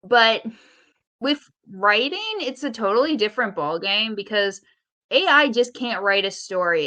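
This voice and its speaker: A woman talking casually as a podcast co-host, earnest and a bit halting as she argues her points. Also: fairly high pitch, sharp tone. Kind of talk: casual speculative podcast chat.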